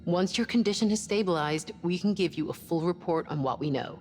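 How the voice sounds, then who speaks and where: A woman's voice: on the low side, a little fast, a little mellow, lightly acted. A woman playing a caring, lightly teasing ship's doctor in a sci-fi game. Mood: calm, reassuring. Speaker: actor in a video game. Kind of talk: cinematic sci-fi game acting. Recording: long hall reverb, faint music behind.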